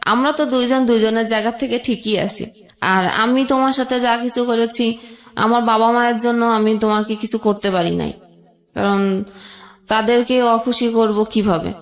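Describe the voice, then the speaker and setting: A young woman telling an emotional personal story as a guest, halting, with pauses and restarts. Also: on the high side, echoey room.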